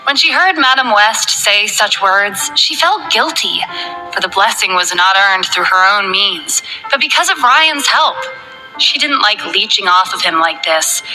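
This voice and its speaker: A woman narrating a romance novel in a smooth, even reading voice, over faint music. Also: medium pitch, unhurried, low-quality recording. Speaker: audiobook narrator.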